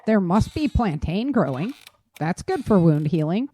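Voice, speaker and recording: A woman's voice: fairly low pitch, very animated, measured pace, mellow, breathy edge, full character voice. A woman playing an injured, stoic and practical woman in an audio drama, with a subdued voice. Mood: weary, practical.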